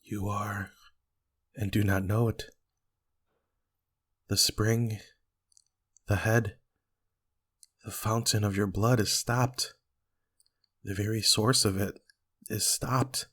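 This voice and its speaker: A man's voice: on the low side, steady tone, slow, pauses often, a bit bright, breathy edge, heavily acted. A man playing a brooding, guilty usurper who declaims weightily in an amateur Shakespeare reading. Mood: feigned grief. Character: guilty usurper Macbeth feigning grief, brooding.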